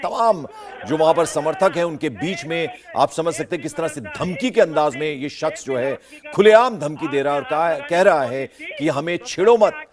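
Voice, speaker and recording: A man with an Indian accent giving live news commentary as a journalist, emphatic and repetitive. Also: a little high.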